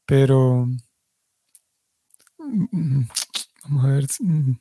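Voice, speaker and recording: Mellow-voiced man teaching a devotional class. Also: mid-range voice, expressive, drawn-out words, slight background noise, low-quality recording. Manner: explanatory, restarts and self-corrections. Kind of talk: religious class.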